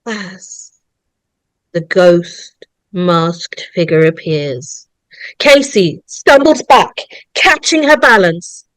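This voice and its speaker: A woman narrating a horror screenplay in a dramatic, deliberate way, building suspense in short phrases. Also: on the low side, animated, dry recording, poor audio.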